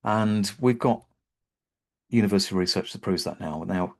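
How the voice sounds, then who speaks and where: A middle-aged British man leading an online webinar, speaking gently and reassuringly, with frequent ums and repeated words. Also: sits low, moderate pace. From Britain.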